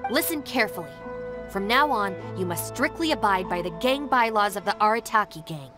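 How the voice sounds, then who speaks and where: A woman's voice: mid-range voice, fairly bright tone. A woman playing a stern, composed young female gang deputy in a video game, formal and firm in manner. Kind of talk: video game English dub acting. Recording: music behind the voice. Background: game music.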